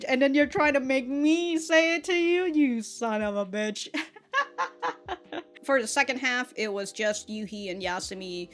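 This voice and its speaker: A young adult woman giving a casual, rambling review as a reaction host, informal and giggly in her retellings. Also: medium pitch. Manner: frequently swearing, informal fan slang. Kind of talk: casual rambling episode review with acted-out quotes.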